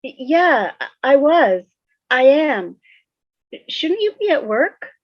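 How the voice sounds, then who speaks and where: Troubled teenage girl played by a woman; very high, halting delivery, dry and slightly dull recording, drama table read. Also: big pitch swings, dry recording, full character voice. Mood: hesitant, uneasy. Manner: halting, hesitant. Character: troubled, guarded teenage girl with her mother.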